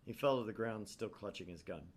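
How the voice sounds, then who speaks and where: A man with a lowish, very expressive voice narrates a visual novel. He slips between theatrical reading and irreverent casual commentary. There is faint noise behind him. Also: brisk. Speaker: gaming channel host reading aloud.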